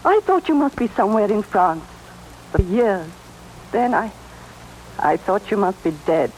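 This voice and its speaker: Swooping, emotional wife. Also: female voice, medium pitch, big pitch swings, measured pace, darkish tone, low-quality recording. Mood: anguished. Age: middle-aged. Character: emotional, devoted middle-aged long-lost wife. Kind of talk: old-time radio melodrama acting. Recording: hissy old radio drama recording.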